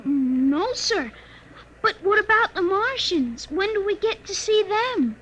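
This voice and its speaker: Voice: extremely high pitch, very animated, takes it slowly, fairly bright tone, full character voice. A child's voice playing an eager, polite, inquisitive boy in old radio drama style. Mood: puzzled. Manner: earnest, inquisitive. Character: eager, polite young boy on a family trip. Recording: muffled old radio recording, faint noise.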